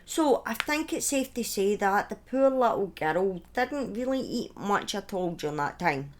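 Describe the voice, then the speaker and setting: Woman with very lively, swooping intonation. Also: mid-range voice. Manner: informal asides, opinionated commentary, halting phrasing. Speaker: true-crime storyteller, streamer. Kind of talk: conversational true-crime storytelling, part scripted.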